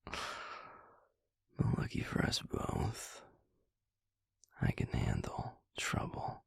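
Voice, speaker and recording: A man playing a gruff, sardonic werewolf boyfriend in an intimate audio roleplay, half-whispering in a teasing, grudgingly fond drawl. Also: dry recording. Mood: fond exasperation. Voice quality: very deep, gravelly, breathy. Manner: slow teasing drawl with heavy sighs, dry sarcasm.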